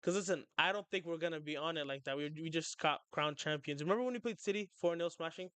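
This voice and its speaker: An excitable young man chatting on a livestream, rambling and stumbling over his words. His voice sits a little high and comes through smooth and clear. The sound is dry and close. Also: quick pace, no room sound. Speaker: streamer. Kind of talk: casual livestream chat.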